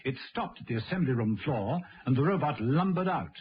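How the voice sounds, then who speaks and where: A man's voice: medium pitch, animated, fairly quick, slightly rough. A man delivering dramatic scripted narration for a radio drama. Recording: noisy, dull old radio recording.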